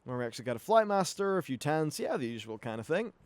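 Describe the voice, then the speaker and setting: A man's voice: a little high, big pitch swings, quick pace. A man giving casual live-play game commentary in a chatty, wry, casually sweary way. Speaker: gaming video host. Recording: clear background noise and game ambience.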